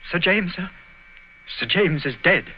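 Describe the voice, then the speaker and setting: Haunted, grieving colonel, muffled. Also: male voice, high voice, expressive. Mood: stricken. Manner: stricken, halting, repetitive. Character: grief-stricken, haunted gentleman colonel. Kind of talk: 1940s radio acting. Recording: muffled, noisy old radio recording.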